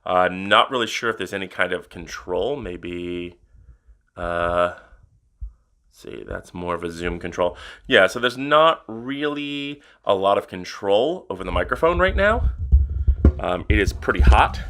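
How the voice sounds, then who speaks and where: A man with a very deep voice reviewing gear in a casual vlog, talking in a relaxed way with many uhs. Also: measured pace. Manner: relaxed, filler-heavy talk with many uhs.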